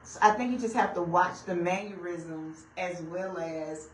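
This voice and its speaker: A woman's voice: fairly low pitch, measured pace, echoey room, recorded from a distance, a little hiss or hum, low-quality recording. A woman co-hosting a radio talk show, speaking casually with a sassy, emphatic manner.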